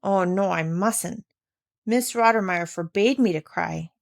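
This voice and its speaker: A woman's voice: mid-range voice, measured pace, vocal fry. A woman reading aloud voices a frightened, homesick little girl in a storybook who talks in a small, hesitant, tearful way.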